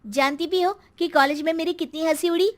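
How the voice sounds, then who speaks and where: A woman's voice: very high-pitched, steady tone, brisk, pitched above the performer's own voice. A woman voicing a spoiled, demanding college-age daughter in a cartoon story, complaining and mocking. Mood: annoyed, mocking.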